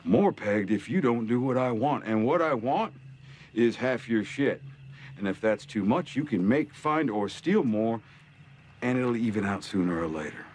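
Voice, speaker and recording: An actor with an American accent playing a smug, cruel middle-aged gang leader in a TV drama, drawling and mocking with deliberate pauses. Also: male voice, medium pitch, lively intonation, a little mellow, lightly acted. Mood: calm menace. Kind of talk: television drama villain monologue. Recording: TV drama clip with noticeable background noise.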